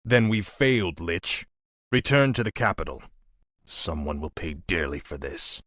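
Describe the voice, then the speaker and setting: A man playing a cold, ruthless, imperious dark king in a fantasy game, speaking grimly. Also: sits low, sing-song, unhurried, dry recording, clean studio sound, heavily acted. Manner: imperious, grim. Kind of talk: fantasy game dramatic acting.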